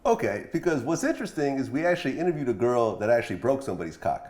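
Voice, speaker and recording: A man's voice: mid-range voice, big pitch swings, very fast, a little mellow. A man hosting a casual interview, asking colloquial, probing follow-up questions. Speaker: interviewer, host. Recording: echoey room.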